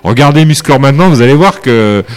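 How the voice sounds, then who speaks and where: A man's voice: mid-range voice, animated, fairly quick. A man chatting casually about films and cartoons as podcast co-host, hesitant with many fillers.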